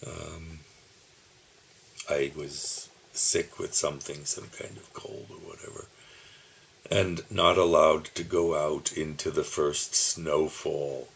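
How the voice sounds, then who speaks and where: A man in his seventies, reminiscing slowly about his childhood, pausing often with soft chuckles, who calls his own voice dull. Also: very deep voice, level tone, vocal fry, a little hiss or hum. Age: 70s. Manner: slow, musing, with soft chuckles and pauses. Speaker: video diarist. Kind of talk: reflective personal reminiscing. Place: home, seated at his computer. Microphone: computer camera mic.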